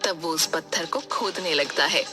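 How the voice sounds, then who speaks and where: A woman's voice: low-pitched, normal speed, over music. A woman giving scripted storytelling narration for a cartoon fairy tale.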